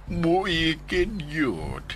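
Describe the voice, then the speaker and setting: A man's voice: on the high side, huge pitch swings, drawn-out words, slight background noise, exaggerated voice. A man acting in a radio drama as a gossipy, leering henchman who chuckles and mutters to himself, eager to please his boss. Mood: sly, amused. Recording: loud music behind the voice.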